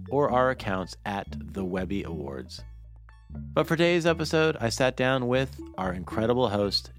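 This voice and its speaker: A man hosting a podcast, presenting and asking questions in a conversational studio style. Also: on the low side. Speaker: podcast host and interviewer. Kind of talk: podcast introduction and casual interviewing.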